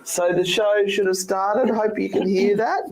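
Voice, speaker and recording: An older Australian woman co-hosting a radio show, hoarse from a cold, chatty and joking in studio banter. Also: mid-range voice, fairly even intonation, unhurried, darkish tone, soft background music, rough recording. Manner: chatty, joking, breaks into laughs. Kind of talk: casual banter on air.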